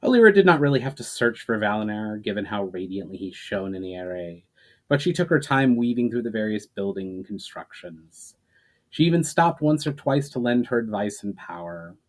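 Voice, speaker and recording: A man's voice: fairly low pitch, sing-song, normal speed. A man speaking as an author who presents and reads his own fantasy novel aloud in a storytelling style. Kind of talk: dramatic reading of a fantasy novel, with informal introduction.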